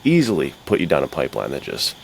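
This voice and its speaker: A man with an exceptionally deep, bass voice co-hosting a casual podcast. He speaks earnestly and informally, in a conversational flow. There is faint noise in the background. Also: wildly swooping pitch, fast, vocal fry. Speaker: podcast co-host.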